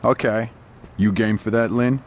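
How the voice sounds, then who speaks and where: A man's voice: medium pitch, fairly even intonation, a little slow, a little hiss or hum, natural voice. An actor playing a brash, loyal young male gang lieutenant in a video game, talking in blunt street-casual style. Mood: insistent. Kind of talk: video game cutscene acting.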